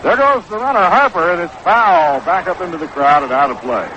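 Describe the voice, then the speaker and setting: A man calling baseball play-by-play on 1960s radio, measured and descriptive, on an old broadcast recording. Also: high voice, lively intonation, bright, forceful tone. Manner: measured, descriptive calls that quicken on action. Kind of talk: 1960s live radio sports commentary. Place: outdoor ballpark broadcast position. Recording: noisy, dull vintage 1960s radio broadcast.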